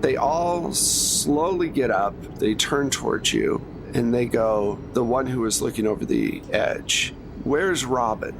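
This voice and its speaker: A man acts as game master for a tabletop game. His voice sits at a middle pitch as he narrates conversationally and talks players through the rules. There is clear noise behind him. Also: slow. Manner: conversational, narrates in second person. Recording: noisy recording with clear background noise.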